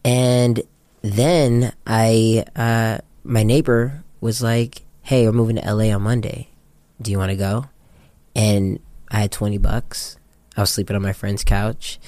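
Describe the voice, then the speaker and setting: A man's voice: fairly low pitch, darkish tone, dry recording. An American man speaking casually and candidly as an interviewed director, telling anecdotes with plenty of slang. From Japan. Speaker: interviewed music video director and photographer.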